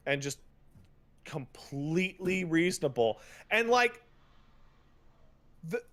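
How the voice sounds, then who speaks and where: A man's voice: fairly high pitch, sing-song, vocal fry, fairly bright tone. A man hosting a podcast, ranting casually about video games in an emphatic, profane, stop-and-start way. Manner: emphatic, profane, halting with repetitions and mocking paraphrases. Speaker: podcast host.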